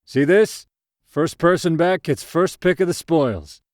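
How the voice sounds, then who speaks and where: Narrator doing a brisk guy running a swim race, loud announcing, pitched up, audio story. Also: dry recording, clean studio sound, natural voice. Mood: rallying. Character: brisk, commanding male swim race organizer. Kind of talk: acted short story dialogue.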